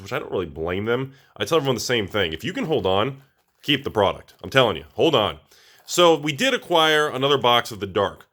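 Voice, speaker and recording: Slightly raspy, low-voiced American man. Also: a bit bright. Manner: informal, rambling, addresses viewers directly. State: a little under the weather. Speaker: collectibles channel host. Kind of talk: casual product review talk to camera.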